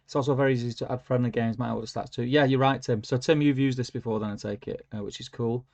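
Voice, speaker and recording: A man's voice: medium pitch, fairly even intonation, fast talker, gravelly, a little mellow. A man hosting a casual livestream, reading out viewer comments with lots of fillers and humming to himself. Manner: rambling, filler-heavy, hums while scrolling. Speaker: livestream host. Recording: lo-fi stream audio.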